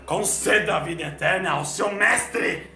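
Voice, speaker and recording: A man playing a gloating, megalomaniac cloaked villain in an audio drama, with theatrical, drawn-out delivery. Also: high-pitched, vocal fry. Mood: fierce, exultant. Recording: echoing voice over music.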